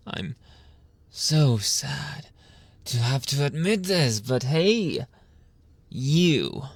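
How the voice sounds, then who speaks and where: A man playing a menacing, possessive mafia boss in an ASMR roleplay, speaking deliberately with mock sweetness and often dropping into a whisper. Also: mid-range voice. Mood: twisted tenderness. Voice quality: breathy, often whispering, creaky.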